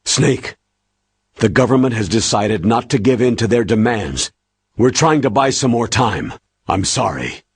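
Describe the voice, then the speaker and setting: An actor playing a stern, burdened older military commander in a video game, with a rough, husky voice. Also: male voice, medium pitch, animated, measured pace, no room sound. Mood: grave. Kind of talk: dramatic video game voice acting.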